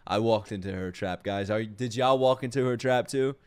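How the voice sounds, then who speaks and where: A man streaming live reactions to game trailers, talking in excitable, slangy, profane casual commentary. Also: lowish voice, normal speed. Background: game trailer music and voices.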